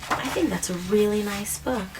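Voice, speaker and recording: A woman hosting a children's read-aloud, explaining things simply and gently to a child and often checking in with questions. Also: medium pitch, normal speed. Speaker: host of a children's story read-aloud show. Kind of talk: picture-book reading and simple explanations to a child. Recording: distant mic, faint background noise.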